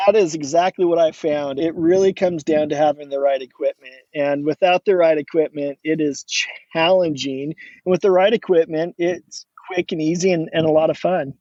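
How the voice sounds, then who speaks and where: A middle-aged man from Oregon, a guest entrepreneur, talking casually in a relaxed, rambling way with many restarts. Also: fairly high pitch, normal speed, dry recording, highs cut off. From Oregon, USA. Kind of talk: casual interview talk.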